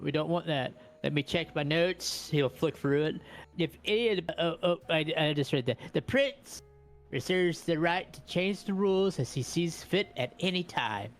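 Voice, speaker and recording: A man playing a tabletop game, reading rules out loud with casual asides. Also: a little high, moderate pace, faint music behind.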